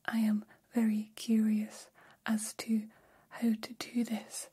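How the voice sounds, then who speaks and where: Woman as gentle humanoid alien, ASMR roleplay, soft whisper, very flat intonation, mellow, formal and soothing. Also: fairly high pitch, exaggerated voice. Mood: grateful, gentle. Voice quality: breathy whisper. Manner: slow, stilted formal phrasing, soft and soothing.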